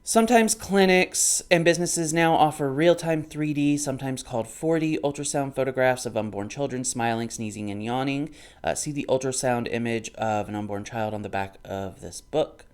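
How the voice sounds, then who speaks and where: A man's voice: medium pitch, normal speed, few pauses, clean tone. A man in his thirties giving casual commentary on a book, argumentative and emphatic, repeating himself to drive points home. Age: 30s. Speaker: commentator reading a book aloud and responding. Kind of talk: casual reaction commentary, alternating reading aloud and off-the-cuff response.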